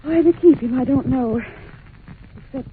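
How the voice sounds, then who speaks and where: A woman's voice: high-pitched, normal speed, narrow, tinny audio, close to an everyday voice. A woman playing a polite, anxious and curious young governess in an old-time radio drama, telling things earnestly and expressively. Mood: earnest, uneasy. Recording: noisy, thin old radio recording.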